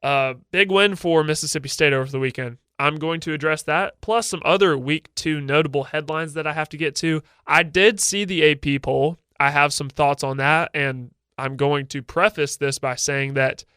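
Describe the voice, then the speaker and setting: A man's voice: fairly high pitch, normal speed, pushed and bright, clean tone, no room sound. A young man hosting a solo sports radio show, slightly nasal and congested from a head cold, talking in a confident, opinionated way.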